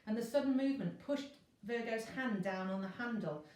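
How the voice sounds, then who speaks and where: Female teacher storyteller: expressive narration of children's fiction, distant, very reverberant room. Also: a little high, normal speed. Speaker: teacher reading a class novel. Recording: very echoey room, distant mic.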